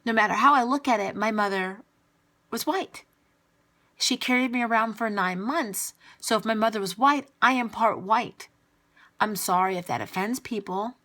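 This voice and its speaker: A woman's voice: on the high side, normal speed, bright, forceful tone. A woman vlogger speaking straight to camera, answering her critics in an exasperated, emphatic and blunt way. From United States. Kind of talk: direct-to-camera rebuttal vlog.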